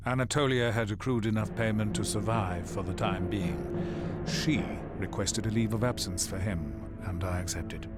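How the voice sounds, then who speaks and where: Weary male game narrator voiced by an actor, low pitch, grave, regretful, measured reflective delivery, loud soundtrack behind. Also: over loud music, close to an everyday voice. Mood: somber, reflective. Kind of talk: scripted video game cutscene narration.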